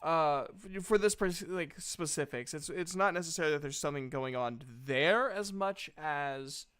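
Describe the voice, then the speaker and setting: A man improvising tabletop game narration as game master, halting often as he searches for words. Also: a little high, fairly bright tone, clean tone.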